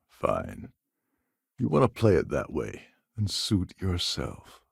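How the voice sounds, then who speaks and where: Gravelly, low-voiced older space pirate captain. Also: male voice, wildly swooping pitch, slow pace, dry recording, heavily acted. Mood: sardonic. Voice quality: gravelly, husky. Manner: sardonic, dry, conspiratorial. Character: weary, wry older space pirate posing as ship captain. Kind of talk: video game character dialogue.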